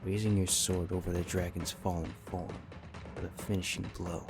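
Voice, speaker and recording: A man's voice: low-pitched, little pitch movement, moderate pace. A man reading a scripted second-person fantasy story as its narrator. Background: faint music.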